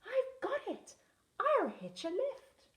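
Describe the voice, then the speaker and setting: A woman voicing a tiny, eager female sea snail with squeaky, excited exclamations. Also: slow, full character voice. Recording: echoey room.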